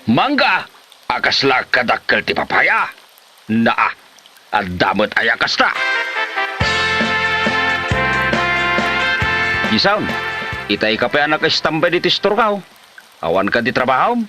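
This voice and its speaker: A man's voice: medium pitch, sing-song, moderate pace, pauses often, over loud music, lightly acted. A man playing an exasperated older village father figure who scolds and exclaims in a comedy drama.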